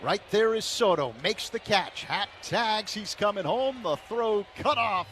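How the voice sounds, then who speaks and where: Male radio play-by-play announcer, somewhat high voice, measured delivery that builds on big plays, light background noise. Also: expressive, a bit bright.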